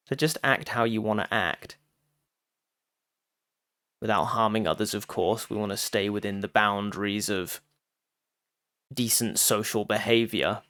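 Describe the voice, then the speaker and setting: A man giving a guided meditation talk as a teacher, phrasing deliberately with frequent pauses and addressing listeners directly. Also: fairly low pitch, a bit bright, clear, resonant tone, no echo, studio quality.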